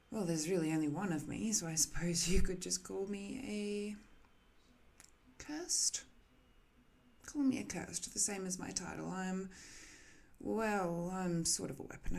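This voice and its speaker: A woman voicing an ancient cursed living weapon, a soft-spoken, wistful villain who muses hesitantly in an intimate ASMR roleplay, sometimes dipping toward a whisper. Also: medium pitch, creaky. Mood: coy, hesitant.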